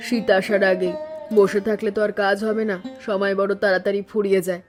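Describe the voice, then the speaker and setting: A woman plays a hardworking elder wife in an animated village story. She speaks evenly at a middle pitch, calm and patient, resigned but reassuring. Soft music sits behind the voice. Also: brisk, lightly acted. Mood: resigned, dutiful. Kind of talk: animated family story acting.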